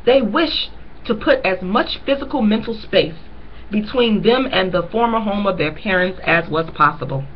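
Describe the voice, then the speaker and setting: A woman's voice: mid-range voice, expressive, highs cut off, slight background noise. Earnest woman reading a novel aloud. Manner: earnest, pauses to stress key lines to listeners. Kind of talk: reading aloud with personal commentary.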